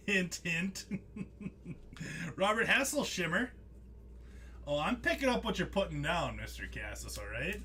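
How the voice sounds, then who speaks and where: A man's voice: high-pitched, very animated, slow pace, pauses often, pushed and bright, a little hiss or hum. A young man hosting a live trading-card break stream, chatting casually with viewers by name, laughing often as he reads out the cards he pulls.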